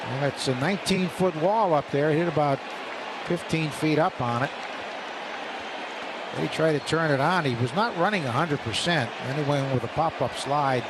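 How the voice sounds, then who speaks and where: A man giving calm, analytical color commentary during a radio baseball broadcast. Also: on the high side, normal speed. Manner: calm analytical remarks between plays. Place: broadcast booth at a ballpark. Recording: radio broadcast with crowd noise.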